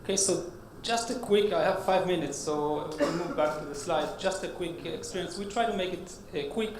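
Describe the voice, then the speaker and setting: Hebrew-accented guy doing a startup pitch, lots of uhs and restarts, kinda high voice, echoey noisy hall. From Israel. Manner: halting, many uh fillers and restarts. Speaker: startup CEO presenting. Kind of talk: conference pitch. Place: conference hall stage.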